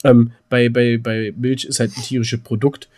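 A man's voice: medium pitch, level tone, normal speed, darkish tone. A man with a German accent co-hosting a casual podcast, explaining things and putting questions to his partner and the listeners. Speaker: podcast co-host.

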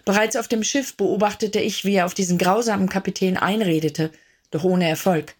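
A woman narrating a radio drama in the first person, recounting events quickly and sorrowfully. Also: medium pitch, natural voice.

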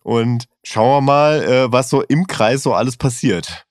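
A man's voice: mid-range voice, a little slow, dry recording, clean studio sound. A man co-hosting a casual podcast, telling stories in a rambling, chatty way with many fillers. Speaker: podcast co-host. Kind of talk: casual chat.

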